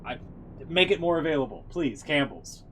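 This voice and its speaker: A man's voice: a little high, very animated, normal speed, fairly bright tone. A man chatting casually on a livestream as its host, rambling with playful, profane griping. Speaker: live streamer. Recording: faint background noise.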